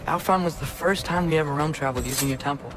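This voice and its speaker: Man playing a higher-voiced curious teenage boy. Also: quick pace, close to an everyday voice. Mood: matter-of-fact, candid. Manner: casual, youthful phrasing. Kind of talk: video game voice acting.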